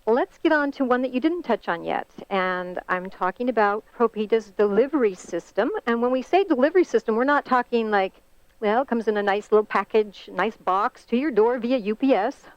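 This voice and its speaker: A woman's voice: a little high, expressive, moderate pace, no room sound. A woman hosting a conference call interview, chatty and explanatory, speaking over a telephone line. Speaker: host of a company conference call. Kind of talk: conversational scripted-question interview. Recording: band-limited, dull conference phone line.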